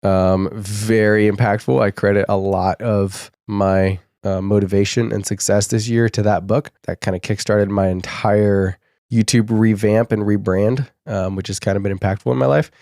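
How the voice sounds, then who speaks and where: A young man co-hosting a casual podcast, a little sick and husky, talking in a relaxed, rambling way with many fillers. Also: on the low side, moderate pace, no echo, clean studio sound. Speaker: podcast co-host.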